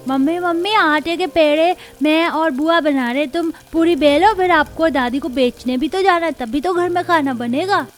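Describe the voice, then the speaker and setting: A woman's voice: high-pitched, normal speed, hardly any pauses, close to an everyday voice. A woman voicing a small, sensible, helpful village girl in a Hindi moral-story cartoon. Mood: eager.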